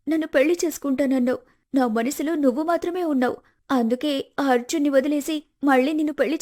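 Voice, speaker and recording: A woman voicing a scheming young wife who puts on tearful innocence, in a pleading melodramatic way for an animated moral story. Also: high-pitched, close to an everyday voice.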